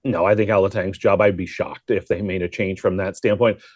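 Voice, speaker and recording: A man's voice: low-pitched, fairly even intonation, brisk, a little mellow, dry recording. A man working as a sports broadcaster, giving informal, talkative, conversational analysis with rambling asides.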